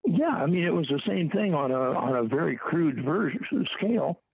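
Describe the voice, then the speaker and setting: An older man talks in a gravelly, husky voice, stopping and restarting as he recalls things. The sound is dry and tinny, like a phone line. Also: a little high, expressive, dry recording. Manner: halting, with many fillers and restarts. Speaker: interviewee. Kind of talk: casual recollection.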